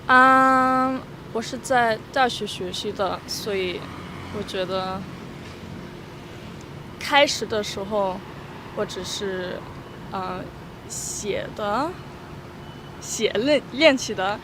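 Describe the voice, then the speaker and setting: A woman's voice: mid-range voice, slow, pauses often. A young woman from Austria answering interview questions outdoors, hesitant and full of fillers, laughing easily. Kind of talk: casual street interview. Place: city street outdoors. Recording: loud street traffic noise. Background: traffic and passing vehicles.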